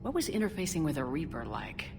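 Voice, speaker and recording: Low-voiced, tough military commander. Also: female voice, very animated, fast talker, over music. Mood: serious, probing. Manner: direct, probing, controlled. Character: tough, direct female human military commander. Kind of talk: video game voice acting.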